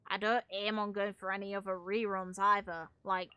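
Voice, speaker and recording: A woman's voice: medium pitch, flat delivery, normal speed, bright, forceful tone, smooth, dry recording. A woman giving casual, rambling gameplay commentary as the host of a gaming video. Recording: music playing behind the voice. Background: background music.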